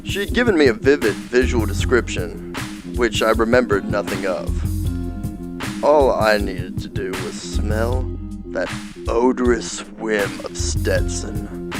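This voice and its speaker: A man playing a seedy, lustful occult detective, narrating a comic noir radio play in a deadpan, halting way. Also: on the low side. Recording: music behind the voice.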